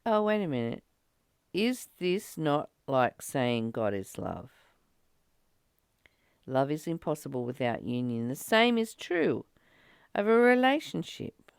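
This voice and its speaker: A woman with a creaky, gravelly edge to a clear, resonant voice talks through spiritual ideas in a reflective way. Her delivery is earnest and halting, and her pitch moves around in a lively way. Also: no echo, clean studio sound. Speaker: study group reader and discussion partner. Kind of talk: reflective spiritual discussion with passages read aloud.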